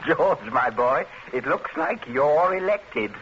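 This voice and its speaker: An actor playing a sardonic, cunning captain who needles with dry mockery, in a noisy, dull 1940s radio drama recording. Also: male voice, on the high side, lively intonation, fairly bright tone. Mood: insinuating. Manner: dry, mocking, deliberate insinuation.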